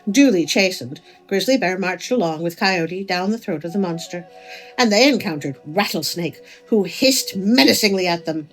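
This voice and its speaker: A woman narrating a folk tale as a storyteller, with expressive delivery. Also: on the low side, moderate pace, rough recording. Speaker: storyteller, host of a story channel. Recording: music under the voice.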